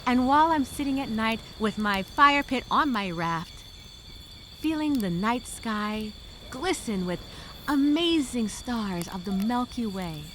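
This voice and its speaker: A woman's voice: fairly high pitch, lively intonation, measured pace, a bit bright. A woman playing a weary, sarcastically hopeful castaway who muses aloud in a dramatic journal monologue. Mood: sarcastic daydreaming. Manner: wry, musing, sarcastic. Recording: noisy, insects and crickets chirping behind.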